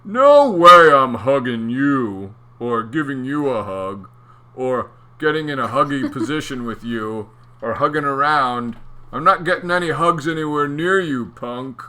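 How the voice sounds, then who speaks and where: A man voicing a mean, bullying eel in a storybook reading, loud and jeering. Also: fairly low pitch, slow pace, hardly any pauses, smooth.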